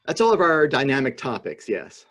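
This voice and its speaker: Husky, animated American man, teasing. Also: mid-range voice, moderate pace. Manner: wry, teasing. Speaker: podcast co-host. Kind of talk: casual chat. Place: video call from lockdown. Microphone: video call microphone.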